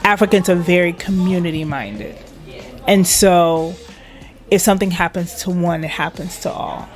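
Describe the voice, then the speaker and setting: A woman's voice: on the low side, moderate pace, faint music behind. A woman from Liberia, a guest in an interview, speaking with pride and emphasis and laughing often. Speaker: guest, internet radio station manager. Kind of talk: casual interview chat.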